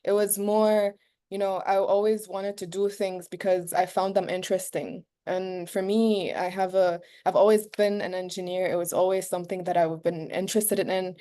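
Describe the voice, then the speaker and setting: Young woman on a video call, dark mellow voice, lots of ums, earnest. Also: mid-range voice, fairly even intonation, moderate pace. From Egypt. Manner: warm, earnest, many fillers and restarts. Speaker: guest speaker. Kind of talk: casual talk on a video call.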